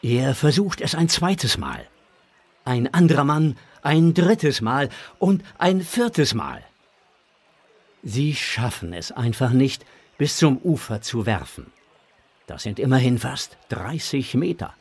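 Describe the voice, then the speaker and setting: A man's voice: mid-range voice, big pitch swings, takes it slowly, creaky, husky. A man narrating a children's audio drama from a script.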